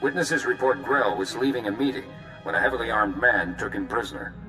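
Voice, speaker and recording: A man's voice: fairly low pitch, a little fast, bright, forceful tone. An actor playing a businesslike male security captain in a game cutscene, reporting urgently in formal military style. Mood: urgent, official. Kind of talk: video game cutscene acting. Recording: roomy echo, music behind.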